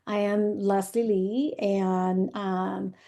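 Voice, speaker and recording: Woman, Chinese accent, thirties, mellow warm tone, earnest casual interview talk, frequent fillers. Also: medium pitch, level tone, drawn-out words, vocal fry. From China. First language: Zhuang dialect or Mandarin. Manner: earnest, with many fillers and restarts; laughs easily. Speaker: podcast guest.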